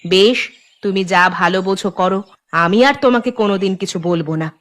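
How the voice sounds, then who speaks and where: A woman's voice: mid-range voice, brisk, dry recording, lightly acted. A woman playing the sensible, reproachful wife of a village headman in a cartoon, firm and admonishing. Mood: resigned, stern. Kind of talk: Bengali cartoon voice acting.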